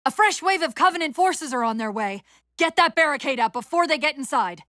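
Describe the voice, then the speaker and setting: A female artificial intelligence guides a soldier through battle in a video game. Her voice is high and swoops with feeling, recorded close and dry. She snaps out crisp tactical calls with dry sarcasm, sounding urgent and fraying under the strain. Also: expressive, fairly quick, a bit bright, no room sound. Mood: urgent, commanding. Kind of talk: dramatic game voice acting.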